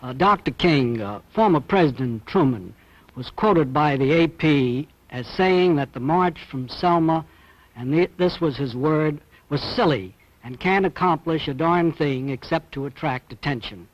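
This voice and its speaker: A man putting formal questions as a broadcast interviewer, in a measured and pointed manner. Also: on the high side, lively intonation, no room sound. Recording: dull archival broadcast sound.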